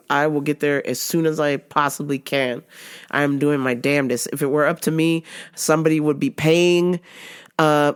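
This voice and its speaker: Woman host, stuffy and sore-throated, unhurried, swears freely, laughs a lot. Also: low voice. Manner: profane, rambling, laughs often. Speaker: podcast host. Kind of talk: casual solo podcast monologue.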